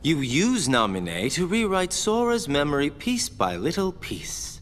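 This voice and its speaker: An actor playing a sly, cocky villain in a video game, sardonic and teasing, toying with phrases. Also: male voice, fairly high pitch, wildly swooping pitch, measured pace. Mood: sly, mocking. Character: Axel, sly cocky mocking male villain. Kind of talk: video game cutscene acting. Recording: long reverb, music behind.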